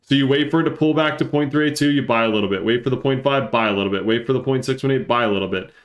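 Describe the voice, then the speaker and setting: A man's voice: medium pitch, very fast, dull audio. A man with a congested, stuffy-nosed voice, explaining things casually as a live-stream market analyst and repeating points for emphasis. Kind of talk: casual live chart commentary and trading lesson.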